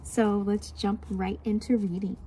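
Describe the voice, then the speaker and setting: A woman reading a children's storybook aloud as a teacher, expressive and speaking directly to young listeners. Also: mid-range voice, a little slow, a little hiss or hum, rough recording.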